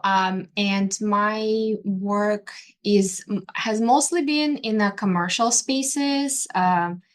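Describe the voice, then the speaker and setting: A woman from Ukraine, an interior designer and artist, talking in an interview with frequent pauses, fillers and restarts. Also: mid-range voice. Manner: hesitant, many fillers and restarts. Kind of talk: conversational interview.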